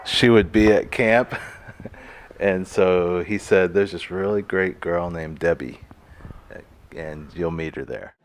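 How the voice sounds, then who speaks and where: A man's voice: deep voice, slow pace, a little hiss or hum. A man telling casual stories in an interview, playful and mock-dramatic in his delivery.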